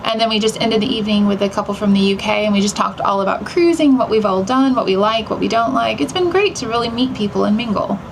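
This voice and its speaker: Upbeat woman with creaky voice. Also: mid-range voice, fast talker, few pauses. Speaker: travel vlog co-host. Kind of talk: casual chat recapping the day. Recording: clearly noisy background ambience.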